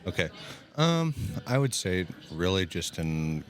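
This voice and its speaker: A man with a loud voice, chatting casually as a guest admin in an interview at a gaming event. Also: low voice, a little slow, slight background noise. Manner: loud, relaxed, plainspoken. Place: event hall at a gaming party.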